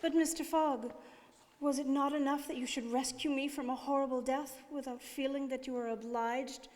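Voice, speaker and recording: A woman playing a gentle, devoted princess in a radio drama, formal, earnest and pleading. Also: high-pitched, fairly even intonation, normal speed, close to an everyday voice. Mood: concerned. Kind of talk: stage radio drama acting. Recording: very reverberant studio hall.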